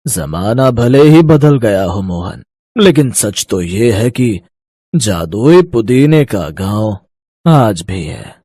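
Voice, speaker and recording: A man voicing a kindly old village uncle in a moral story, earnest and secretive, speaking slowly and deliberately. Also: on the low side, very animated, mellow, breathy edge, dry recording, exaggerated voice. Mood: wistful, secretive. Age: older. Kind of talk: Hindi moral story voice acting.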